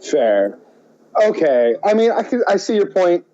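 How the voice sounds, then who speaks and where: Mellow man podcaster, slightly dull lo-fi sound. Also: high voice, sing-song, unhurried, a little hiss or hum. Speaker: podcast co-host. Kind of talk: casual film chat.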